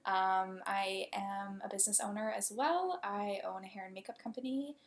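A woman's voice: mid-range voice, moderate pace. A woman in her early twenties co-hosting a casual podcast, with a chatty, informal and teasing manner. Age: early 20s. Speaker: podcast co-host, business owner. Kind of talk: casual podcast chat between friends.

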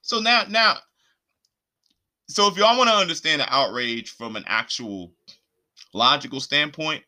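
An American man in a casual, opinionated podcast rant, speaking in an animated, indignant way with plenty of profanity and slang. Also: mid-range voice, normal speed. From Puerto Rico and the eastern United States. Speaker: podcast host.

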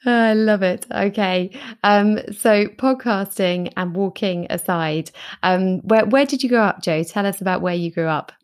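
A woman hosts a podcast interview at an everyday mid pitch. She asks encouraging questions and gently teases her guest. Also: measured pace. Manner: friendly, teasing, encouraging questions. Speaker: podcast host. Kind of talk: interview hosting.

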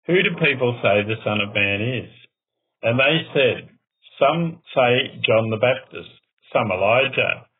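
A man reading scripture aloud in a measured, formal way. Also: mid-range voice, husky. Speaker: scripture reader, religious brother. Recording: muffled, phone-like narrow band.

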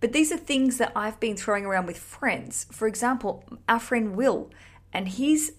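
A woman's voice: on the high side, animated, moderate pace. A woman hosting a podcast, chatting casually and effusively to listeners in a cheeky, sweary, rambling way. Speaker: podcast host.

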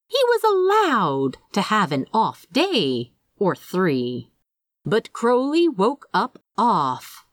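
A woman reading fiction as a podfic narrator, with expressive, dramatic delivery and deliberate phrasing. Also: medium pitch, dry recording, studio quality. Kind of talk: expressive scripted story narration.

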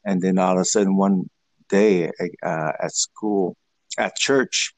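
A man whose first language is Vietnamese, answering interview questions in a halting way with many fillers and restarts. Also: low-pitched, fairly even intonation, no echo. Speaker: guest.

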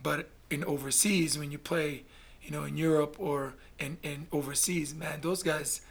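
A middle-aged man from Canada telling his story in a casual interview, blunt and animated, swearing freely. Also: a little high, moderate pace, creaky, slightly rough. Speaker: guest, basketball player.

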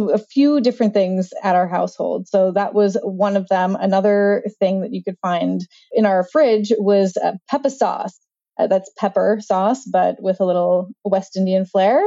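A Canadian woman who is a stand-up comedian, talking in an animated, jokey way on a podcast. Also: mid-range voice, no room sound, dull audio. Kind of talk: casual podcast chat.

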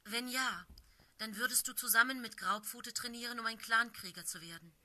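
A woman's voice: a little high, normal speed, bright, forceful tone. A woman voicing a proud, stern she-cat who leads a warrior clan, speaking in a firm, commanding way. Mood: measured.

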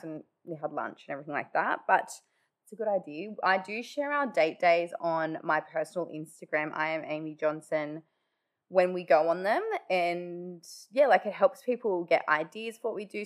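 A woman hosting her own podcast in casual, chatty talk, with a nasally, congested voice after just waking up. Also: lowish voice. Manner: chatty, many "like" fillers. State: just woken up. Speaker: podcast host. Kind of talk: casual solo podcast chat.

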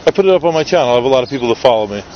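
A man's voice: mid-range voice, expressive, rapid-fire, a little hiss or hum. A man with a New York accent, chatting loosely with strangers and recapping on video. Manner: chatty, loose, rambling with fillers. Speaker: street video creator. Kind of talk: casual street chat and vlog recap. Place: outdoors in a city park. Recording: dull lo-fi street recording.

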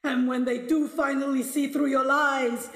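A woman's voice: sits high, fairly even intonation, moderate pace, natural voice. A woman playing an accusing, mocking, commanding ghostly spirit in a radio drama, with an echo effect. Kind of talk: theatrical radio drama acting. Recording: echoing, long reverb effect.

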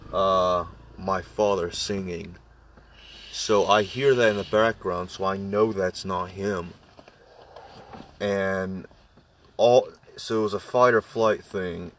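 A man with a deep voice telling a spooky personal story to friends, halting with many fillers and restarts. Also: fairly bright tone, distant mic, slight background noise. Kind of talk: casual ghost story among friends.

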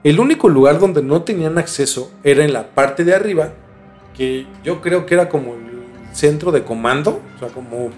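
A man with a Mexican accent in a casual, colloquial podcast conversation, explaining a story in a halting, conversational way. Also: on the high side, darkish tone. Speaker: podcast host telling a case. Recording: music under the voice. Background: background music.

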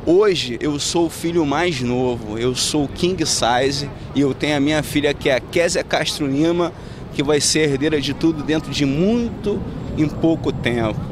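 Man, outdoors, rambling, grandiose, traffic noise, higher pitch. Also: moderate pace. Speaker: man in a viral street interview. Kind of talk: rambling street interview. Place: outdoors on an urban street. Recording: noisy street clip.

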